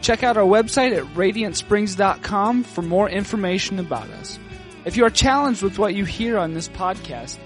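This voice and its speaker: High-voiced man announcing over music. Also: expressive. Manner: measured, promotional. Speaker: podcast announcer. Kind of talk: scripted promo intro.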